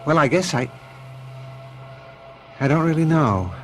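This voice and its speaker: An actor playing an uneasy, wry husband in a radio drama, casual on the surface and a bit hesitant. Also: male voice, fairly high pitch, animated, measured pace, fairly bright tone, faint music behind. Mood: troubled. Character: uneasy, wry husband, privately afraid of touching people. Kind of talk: old-fashioned radio drama acting. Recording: noisy vintage radio drama tape.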